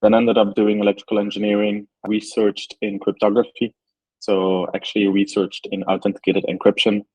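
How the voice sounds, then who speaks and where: Belgian founder guy, lowish husky voice, lots of ums, dry close audio. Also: a little mellow, no room sound, rough recording. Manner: thoughtful, many ums and likes. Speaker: startup founder. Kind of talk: interview answers.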